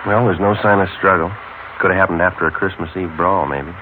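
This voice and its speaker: A man playing a terse, businesslike homicide detective with clipped, deadpan delivery in an old radio drama. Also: low voice, brisk, background noise, lightly acted. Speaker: narrator and lead detective. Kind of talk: 1950s radio drama acting and narration. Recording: muffled old radio broadcast, music behind.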